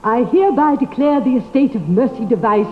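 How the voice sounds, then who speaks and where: An actress playing a formal, officious record keeper, declamatory and halting, in old-time drama style. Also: female voice, a little high, expressive, natural voice. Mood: solemn, officious. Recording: narrow, echoing, noisy vintage hall recording.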